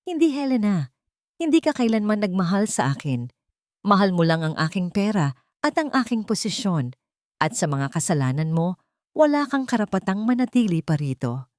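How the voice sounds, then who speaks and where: A woman's voice: mid-range voice, very animated, moderate pace, darkish tone, no echo, studio quality, voice pushed up, lightly acted. A woman voicing an earnest, solemn grown son in an audio drama. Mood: firm, cold. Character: remorseful grown son, firm with his wife.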